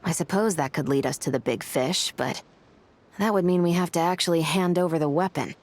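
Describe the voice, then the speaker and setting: A woman's voice: fairly low pitch, a little fast, natural voice. A woman playing a shrewd, skeptical investigator in a video game, speaking in a dry, matter-of-fact way.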